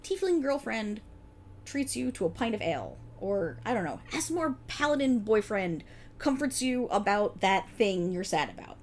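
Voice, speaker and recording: A woman's voice: medium pitch, big pitch swings, normal speed, a little mellow, a little hiss or hum. A woman playing a playful, exasperated voice actor bantering casually with her best friend in an ASMR roleplay. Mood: teasing, candid. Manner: sarcastic, chatty, casual, hesitant asides. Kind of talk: ASMR roleplay, one side of a conversation.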